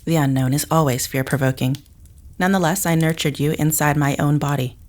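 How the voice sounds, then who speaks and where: A woman plays a psychic mother with a low voice. She is rational and emotionally restrained, choosing formal, precise words in a measured manner, as in a science fiction audio drama. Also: steady tone, darkish tone, close to an everyday voice. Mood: calm, guarded.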